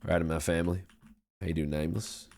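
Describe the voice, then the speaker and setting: A man's voice: low-pitched, steady tone, fast, a little mellow, slight background noise, voice pushed down. An Australian man voicing a friendly, polite cowboy with a put-on American Southern accent, chatting casually and folksily as he streams a game. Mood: easygoing, welcoming. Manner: folksy, courteous, rambling. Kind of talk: casual livestream chat over gameplay.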